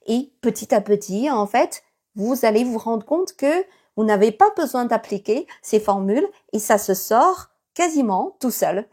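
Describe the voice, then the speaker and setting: Breathy woman teacher. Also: fairly high pitch, lively intonation, a little slow, darkish tone. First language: Chinese. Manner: many fillers, thoughtful, encouraging. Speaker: Chinese teacher. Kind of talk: casual video lesson.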